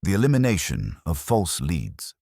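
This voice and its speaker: Male narrator, extremely deep, lively pitch movement, solemn, theatrical, scripted detective fiction. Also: normal speed, gravelly, dry recording, clean studio sound. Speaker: audiobook narrator. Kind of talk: scripted dramatic mystery narration.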